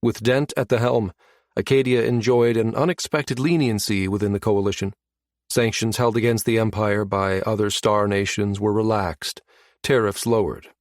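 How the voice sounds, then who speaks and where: A man's voice: on the low side, steady tone, moderate pace, no room sound, clean studio sound. A man reading a science fiction novel as scripted narration. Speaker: audiobook narrator.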